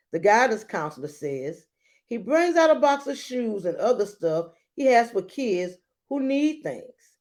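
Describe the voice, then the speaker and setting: Female storybook reader, unhurried and highly varied in pitch, expressive emphasis for young listeners. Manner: expressive, dramatic emphasis with deliberate pauses. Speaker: read-aloud host. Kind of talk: children's storybook read-aloud with brief asides.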